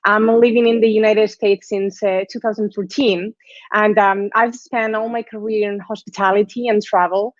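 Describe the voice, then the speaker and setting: A woman's voice: medium pitch, level tone, moderate pace, no room sound. A woman with a Spanish accent speaks to an online class, chatty and friendly, often checking in with her listeners. The sound is dry and close, with the slightly dull tone of a video call. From Spain. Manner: warm, chatty, tags phrases with "right?". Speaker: guest lecturer. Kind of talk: online lecture presentation.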